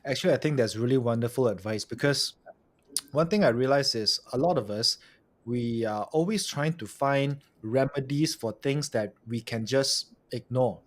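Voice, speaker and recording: A man hosts a relaxed conversation, his voice at an ordinary middle pitch. He keeps it friendly and curious, pressing on with follow-up questions. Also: normal speed. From Malaysia. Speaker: podcast host. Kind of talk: casual interview conversation.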